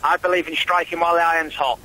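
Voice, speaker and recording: A man talking frankly on a phone call, a boxer who rates himself highly. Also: flat delivery, fast talker, sharp tone. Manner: frank, boastful. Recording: phone-line audio.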